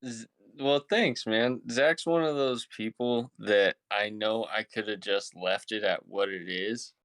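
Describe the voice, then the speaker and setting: A man in his twenties chats as a podcast co-host in a lowish, clean and smooth voice, recorded dry. His talk is sincere, slangy and informal. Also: fairly even intonation, measured pace, a bit bright, dry recording. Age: 20s. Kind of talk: casual chat.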